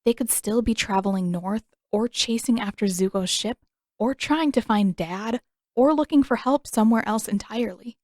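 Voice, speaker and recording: A woman reading scripted fiction as an expressive audiobook narrator. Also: fairly high pitch, normal speed, dry recording, clean studio sound.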